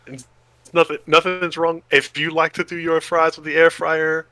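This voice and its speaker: Man, podcast co-host, enthusiastic, exclamatory, bright forceful voice, dry close sound, casual chat. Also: on the high side, flat delivery, moderate pace, dry recording. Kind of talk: casual chat about food.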